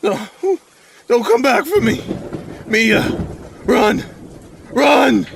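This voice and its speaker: An actor playing a secretive, intense noir crime accomplice in an audio drama. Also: male voice, extremely high pitch, drawn-out words, creaky, slight background noise, full character voice. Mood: panicked, screaming.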